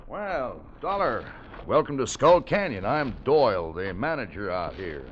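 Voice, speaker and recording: Lively, genial radio mine manager. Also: male voice, mid-range voice, measured pace. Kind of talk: 1950s radio drama acting. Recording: dull, faintly noisy vintage radio recording.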